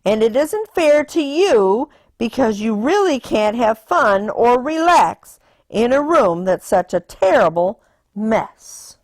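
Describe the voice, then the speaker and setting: Woman reading as a slow, sensible papa bear. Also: a little high, big pitch swings, a little mellow, no echo, full character voice. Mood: firm, reasoning. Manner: measured, explanatory, firm. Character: father bear, sensible, firm, practical problem-solver.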